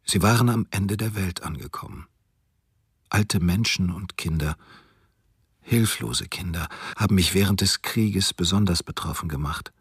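A compassionate wartime photographer looking back in a radio documentary. His voice is low and very husky. He tells the story slowly and soberly, in a dry, close read. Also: no room sound, heavily acted. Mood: somber. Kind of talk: German radio documentary reading.

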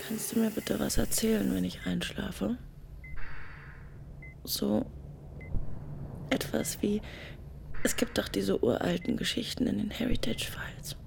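A woman playing a lonely astronaut drifting off to sleep, talking softly and half-whispering in a searching way. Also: medium pitch, fairly even intonation, unhurried, creaky, pauses often, slight background noise. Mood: sleepy whisper. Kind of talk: German radio drama acting.

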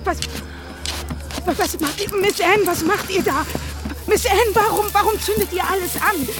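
An anxious servant woman in a radio play. Her very high voice is halting and deferential, with loud music behind it. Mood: bewildered, alarmed. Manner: anxious, halting, repeating words. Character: frightened, bewildered enslaved servant woman, deferential. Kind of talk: radio drama acting.

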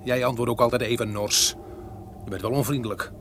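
A man's voice: medium pitch, steady tone, brisk, a bit bright, close to an everyday voice. An actor playing a talkative, sly, nervous soldier who boasts and stumbles over his words in a gothic radio play. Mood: nosy, needling. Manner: chatty, boastful, stammering over slips. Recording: noisy old radio recording.

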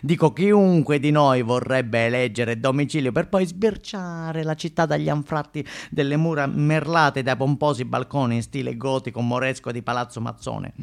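A man's voice: medium pitch, normal speed, creaky, hardly any pauses, close to an everyday voice. An actor playing an aggrieved, wry Sicilian man who tells his story in a florid, theatrical monologue. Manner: florid, dramatic, acts out noises and dialogue.

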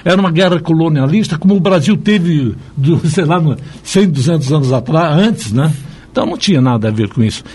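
Husky older São Paulo man, lively pitch. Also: fairly quick. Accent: São Paulo Brazilian Portuguese. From São Paulo, Brazil. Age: 60s or older. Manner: animated, repeatedly seeks agreement with tag questions. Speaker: psychiatrist, radio interview guest. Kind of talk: conversational storytelling in an interview. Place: radio interview.

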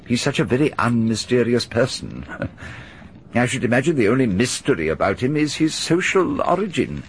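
An actor playing a genial older gentleman with a fussy, chuckling manner in a radio drama. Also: medium pitch, sing-song, normal speed, slight background noise, poor audio. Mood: chatty. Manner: chuckling, fussy, hesitant repeats. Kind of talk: 1930s-style BBC radio drama.

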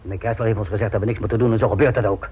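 A cautious innkeeper from a radio drama. The male voice sits fairly low with a husky grain, and the delivery is curt, practical and firm. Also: fairly even intonation, very fast, narrow, tinny audio.

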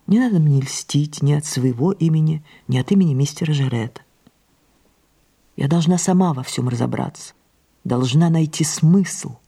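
An actress playing an aging, imperious, melancholy French stage star in an audio drama, declaiming theatrically with drawn-out words that drop into breathy murmurs. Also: female voice, low voice, warm tone, heavily acted. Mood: weary, resolute. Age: older. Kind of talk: audio drama monologue and dialogue.

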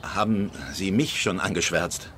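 An actor in a German radio drama playing a brash, cynical screenwriter who reports in clipped phrases. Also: male voice, low-pitched, steady tone, unhurried, a bit bright, a little hiss or hum. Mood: brisk, sardonic.

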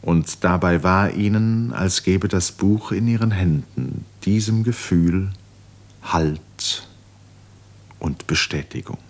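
A man narrating a literary radio play in a contemplative, measured manner, letting phrases fall away softly. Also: low voice.

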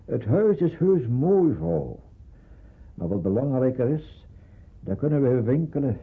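A man's voice: mid-range voice, very animated, a little slow. An actor playing a stern, worried middle-aged father in a radio drama, speaking firmly and emphatically. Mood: worried, persuasive. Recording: muffled, husky phone-like old radio recording.

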